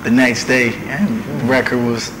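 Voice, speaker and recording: A young man from Nigeria who speaks with a relaxed American accent, tossing in slang and a steady "man" as he chats with his interviewers. His voice sits at an ordinary middle pitch. The room around him is echoey, with a steady noise underneath. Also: normal speed. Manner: laid-back slangy talk, often ending with "man". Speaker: musician guest. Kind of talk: casual interview chat. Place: studio. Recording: roomy studio with background noise.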